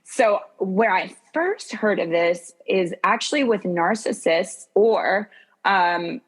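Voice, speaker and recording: A woman's voice: medium pitch, lively intonation, slow. A woman coach speaking as a guest in a casual conversation, informally thinking aloud.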